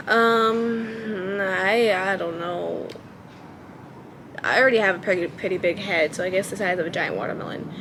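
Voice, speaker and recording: A young woman with a light, childlike voice, pitched at an ordinary middle height, talks in a relaxed way at home. She hesitates and fills her pauses with ums as she thinks aloud. A faint hum sits under her voice. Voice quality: childlike, baby-ish voice. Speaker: vlogger. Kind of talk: casual talk to camera.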